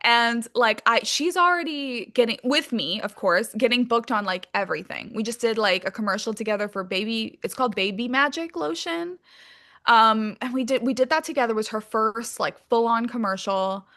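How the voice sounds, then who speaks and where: A woman's voice: on the high side, moderate pace, pushed and bright. A woman chatting casually as an interview guest, candid and informal, swearing freely and using lots of filler likes. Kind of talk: casual chat.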